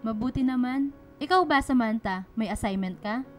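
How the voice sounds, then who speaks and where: Woman acting as worried Filipino mother, highish voice. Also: moderate pace, natural voice. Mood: gentle, apologetic. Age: middle-aged. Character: worried, caring middle-aged single mother of two. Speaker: radio drama actor. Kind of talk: Filipino radio drama acting. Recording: music behind the dialogue.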